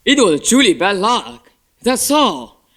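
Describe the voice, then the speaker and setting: Young man acting a brash high-voiced cynic. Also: big pitch swings, slow pace, very noisy, full character voice. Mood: flippant. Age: teenager. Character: brash, cynical, unrepentant male guest in murder mystery. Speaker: student stage actor. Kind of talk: amateur stage play acting.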